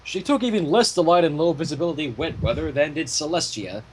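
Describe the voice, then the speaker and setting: A man narrating fan fiction as an expressive storyteller. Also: on the high side, brisk, noisy background.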